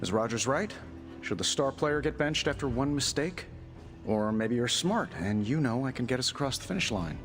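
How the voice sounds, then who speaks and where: An actor playing a cocky, defiant, sarcastic genius hero in a video game cutscene, with pointed delivery. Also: male voice, medium pitch, expressive, normal speed, fairly bright tone, faint music behind, natural voice. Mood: challenging.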